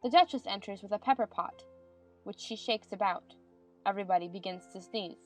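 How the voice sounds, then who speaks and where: A woman's voice: mid-range voice, brisk, faint music behind. A woman reading scripted stage narration for a dramatized audiobook.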